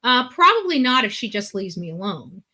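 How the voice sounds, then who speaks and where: A woman's voice: a little high, very animated, moderate pace, pushed and bright. A woman in casual, opinionated discussion as a guest on a stream, speaking bluntly and emphatically, repeating herself for force. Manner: blunt, emphatic, repetitive, swearing. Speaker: guest panelist on a true-crime stream.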